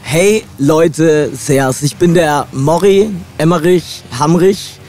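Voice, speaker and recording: Hoarse young man, slightly high voice. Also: takes it slowly, a little mellow. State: hoarse from shouting. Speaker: guest musician. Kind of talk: casual interview. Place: inside a van at a festival. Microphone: handheld mic.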